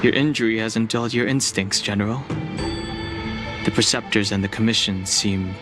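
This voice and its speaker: An actor playing a cool, serious wanderer in a video game, speaking in a reserved and formal way. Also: male voice, on the low side, moderate pace, natural voice. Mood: wary, dry. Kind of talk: video game cutscene acting. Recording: loud music over the voice.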